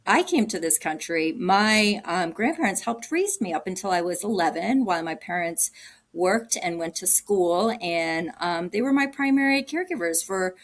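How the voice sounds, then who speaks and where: A woman's voice: lowish voice, lively intonation, moderate pace. A middle-aged woman from Cambodia, a guest answering interview questions in an earnest, unscripted way, with frequent ums and restarts. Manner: warm, earnest, thinking aloud with many ums and restarts. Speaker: guest, agency director.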